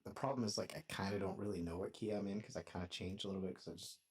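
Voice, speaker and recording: A man's voice: low-pitched, steady tone, racing, husky. A man working out a song in his studio, talking casually and thinking aloud with a self-deprecating, rambling manner. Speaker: songwriter and producer.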